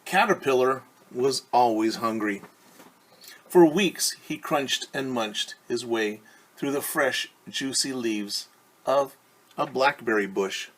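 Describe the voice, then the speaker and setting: Male storytime teacher: unhurried, husky, questioning, explains simply for children. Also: medium pitch, rough recording.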